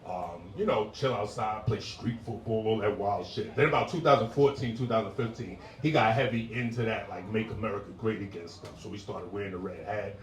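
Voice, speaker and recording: A man in his forties doing a stand-up set, telling stories in a laid-back way and breaking into loud shouted impressions. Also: lowish voice, brisk, hardly any pauses. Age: 40s. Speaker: stand-up comedian. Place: comedy club stage. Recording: roomy club sound from a distance.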